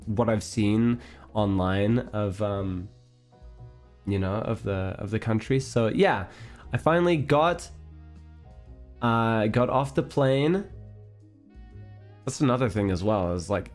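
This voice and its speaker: Man, casual streamer chat, rambling, restarts, soft laughs, average pitch.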